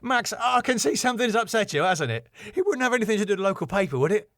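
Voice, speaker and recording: A man's voice: high-pitched, sing-song, fast talker, a bit bright, no room sound, studio quality, pitched above the performer's own voice, exaggerated voice. A man voicing a cheeky, glib music promoter who wisecracks and placates with a flippant manner.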